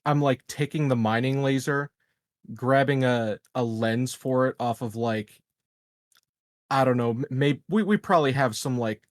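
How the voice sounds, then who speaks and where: A man of middling pitch talks casually as a player in a tabletop game. He works out his ideas haltingly, often repeating words, in a dry recording. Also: no room sound.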